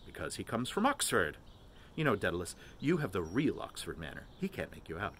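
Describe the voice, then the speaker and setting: A man's voice: low-pitched, huge pitch swings, a bit bright, slight background noise, heavily acted. A man voicing a plump, mocking young man who declaims grandly and teases in a jovial, theatrical way. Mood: frank, scornful. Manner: grandiose mock-preacher declamation, teasing, briskly jovial.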